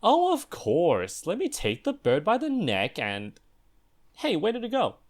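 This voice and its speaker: A woman's voice: fairly low pitch, huge pitch swings, a little slow. A woman voicing a bossy, sarcastic, easily exasperated pony in a cartoon parody, with music behind her.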